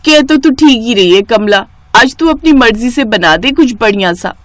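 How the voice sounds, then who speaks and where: A woman's voice: on the high side, lively intonation, normal speed, no room sound, close to an everyday voice. A woman voicing a rich lady employer in a cartoon story, effusive and emphatic, kindly giving orders to her cook. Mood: agreeable. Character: rich female employer, pleased, effusive, bossy but kindly.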